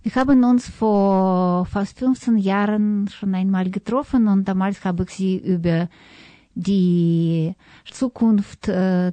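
A woman's voice: medium pitch, mellow, no room sound. A woman working as an interviewer, putting questions to a guest.

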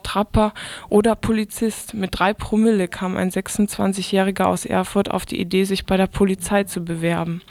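A woman reading a scripted satirical radio news item in a deadpan, mock-formal manner. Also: mid-range voice, no echo.

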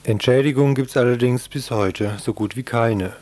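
A man's voice: medium pitch, moderate pace, noisy background. A man narrating a scripted radio news report.

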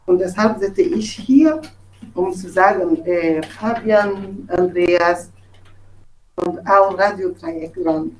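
A woman from Togo with a West African accent speaks German as a non-native, giving a halting, impassioned statement and repeating words for emphasis. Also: lowish voice, a little mellow, distant mic, rough recording. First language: not German. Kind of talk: impassioned spoken statement, unscripted.

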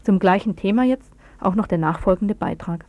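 A woman's voice: mid-range voice, normal speed, warm tone, no echo, highs cut off. A woman presenting on the radio, reading a scripted news report.

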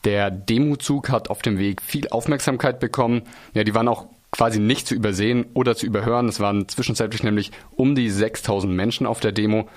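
Male radio reporter, slightly low voice, conversational news narration. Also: fairly even intonation, fast, hardly any pauses.

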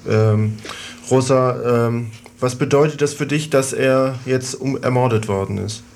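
A man's voice: medium pitch, a little slow, a little mellow, slight background noise. Guy presenting on radio, interviews and announcements, normal mid voice. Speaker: radio host.

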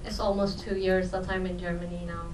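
A woman from Afghanistan, not a native English speaker, answering informally and haltingly at a live festival talk. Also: fairly low pitch, level tone. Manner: halting, loosely phrased non-native English. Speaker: film protagonist and co-writer at a festival talk. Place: echoey event room. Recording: roomy, distant live event sound, faint noise.

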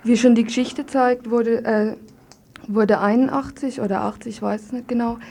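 High, mellow-voiced woman in radio discussion. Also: fairly even intonation, moderate pace, creaky. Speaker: studio guest, member of an activist group. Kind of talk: reading a letter and giving her view in a radio discussion. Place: radio studio.

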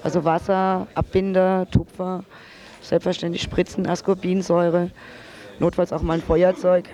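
A woman's voice: lowish voice, flat delivery, moderate pace, mellow. A woman guest in a radio interview, explaining step by step in informal everyday speech. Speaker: drug-aid worker guest.

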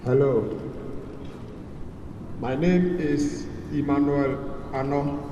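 A man from Ghana giving a protest speech at an outdoor demonstration, slow and halting with restarts. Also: a little high, mellow, far from the mic, lo-fi sound, a little hiss or hum. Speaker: protest speaker. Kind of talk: rally speech. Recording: echoey outdoor PA from afar.

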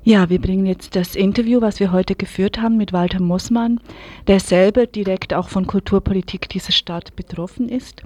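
A woman's voice: medium pitch, steady tone, moderate pace, a little mellow, no echo. A woman presenting and interviewing on local radio in a casual, informal, somewhat tentative way. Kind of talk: casual spoken radio moderation.

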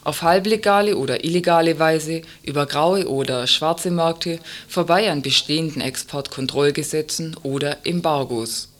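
Male radio presenter, slightly high-pitched voice, scripted report reading. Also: normal speed, few pauses, fairly bright tone. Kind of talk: scripted radio magazine report, read aloud.

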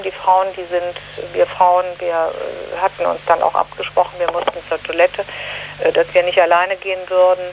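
A woman giving a spontaneous eyewitness account, earnest and halting as she searches for her words. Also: lowish voice, slight background noise, rough recording. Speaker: human rights delegation member giving eyewitness account.

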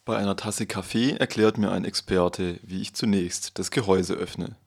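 A man narrating a short report as a scripted voice-over in a calm, measured way. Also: low-pitched, crowd noise.

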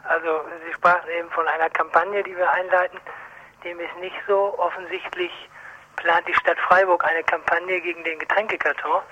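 A male association spokesman speaks in a high, forceful voice. His phrasing is formal and deliberate, and he is heard through a muffled telephone line. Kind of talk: formal phone interview statement.

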